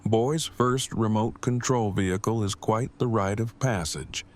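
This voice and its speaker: Actor as deadpan, formal bookish man, earnest and wordy, lowish pitch; audio drama. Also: unhurried, close to an everyday voice.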